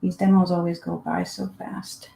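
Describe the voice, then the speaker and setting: A woman from Finland talks an online class through her painting in a relaxed, chatty way, thinking aloud as she works at her desk. Her voice sits fairly low. The recording has a roomy echo around it. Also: normal speed, vocal fry. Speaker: art teacher. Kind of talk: live painting demonstration. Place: home desk. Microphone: live online class setup.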